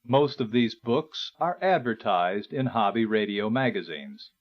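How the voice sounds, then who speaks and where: A man reading a scripted instructional narration in a measured, explanatory way. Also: fairly low pitch, expressive. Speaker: narrator and presenter. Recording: dull, lo-fi 1980s recording.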